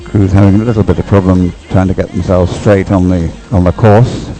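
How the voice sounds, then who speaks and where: A man's voice: low voice, little pitch movement, a little fast, warm tone, faint music behind, rough recording. A man giving unscripted live race commentary in a rambling, hesitant way, often thinking aloud. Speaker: sports commentator.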